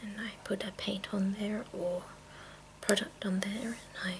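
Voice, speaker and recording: A woman hosting an ASMR video in a breathy whisper, chatting casually and playfully as she shows off her things. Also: medium pitch, steady tone, a little slow, background noise. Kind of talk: whispered ASMR, casual show-and-tell of makeup tools.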